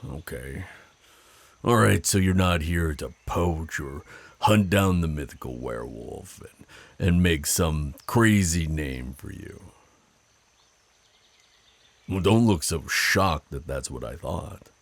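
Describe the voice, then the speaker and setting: An extremely deep, husky werewolf, acted by a man for an ASMR roleplay. He is burly and territorial, drawling his teasing threats slowly and possessively. The sound is close and dry. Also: expressive, dry recording, full character voice. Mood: smug, proud. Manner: slow, drawling, taunting and possessive.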